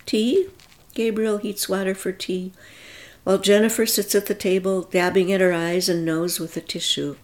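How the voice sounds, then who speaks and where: A woman narrating a story aloud in a calm, even reading voice. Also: medium pitch, moderate pace.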